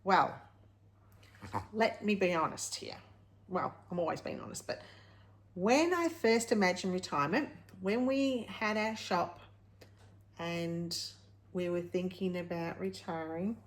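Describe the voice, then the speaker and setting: A woman's voice: fairly low pitch, expressive, slow pace, long pauses. A woman, talking candidly to viewers in a casual, rambling vlog style, often correcting herself. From Australia. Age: late 50s. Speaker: vlog host.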